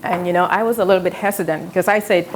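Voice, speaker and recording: Woman in her thirties, South Sudanese accent, low-pitched, chatty cooking host in a home kitchen with faint sizzle behind her. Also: steady tone, rapid-fire, slight background noise, poor audio. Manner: chatty, storytelling while cooking. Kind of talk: live cooking demonstration. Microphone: camera on tripod, medium distance.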